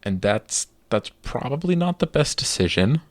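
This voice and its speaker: A man's voice: mid-range voice, sing-song, normal speed, no room sound. A man co-hosting a podcast in casual chat, eagerly building his case point by point. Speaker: podcast co-host.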